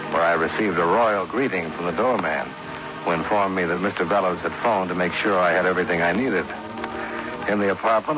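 A man's voice: low voice, lo-fi sound, natural voice. An American man acting a cool, wry insurance investigator in a 1950s radio drama, with dry, clipped hardboiled delivery. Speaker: lead actor, narrator of radio detective serial. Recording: noisy, band-limited 1950s radio recording.

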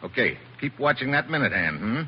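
An actor playing a tough, wry American special agent in a terse 1940s radio drama style. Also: male voice, medium pitch, sing-song, fairly quick, over loud music, close to an everyday voice. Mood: pressing. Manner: hard-boiled, terse, wry. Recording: muffled, hissy 1940s radio recording.

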